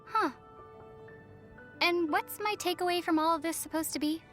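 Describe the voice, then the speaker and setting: A woman voicing a composed young female traveler in a video game, gentle, measured and thoughtful, with a wry edge. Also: very high voice, soft background music. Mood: dry, wry. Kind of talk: video game character voice lines.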